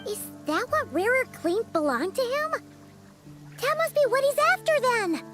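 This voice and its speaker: A woman's voice: very high voice, sing-song, normal speed, a bit bright, exaggerated voice. A woman voicing a tiny, childlike fairy companion in a video game, blunt and inquisitive in casual phrasing. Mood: curious. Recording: music behind the voice.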